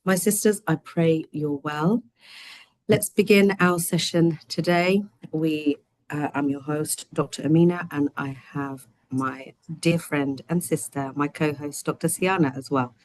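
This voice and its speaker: A woman with a deep, low voice hosts an online study session from home over a video call, gentle and chatty with plenty of fillers. Her voice is a little croaky, and the sound is dry and close. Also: steady tone, measured pace, dry recording, low-quality recording. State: slightly croaky voice. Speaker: host.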